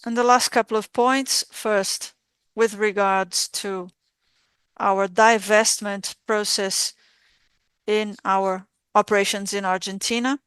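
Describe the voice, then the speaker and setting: Female conference interpreter, smooth clear voice, dry close sound, formal financial delivery in measured segments. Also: medium pitch, fairly even intonation, fairly bright tone, no echo. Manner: measured, halting phrasing in short segments. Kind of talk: formal earnings call presentation, spoken live.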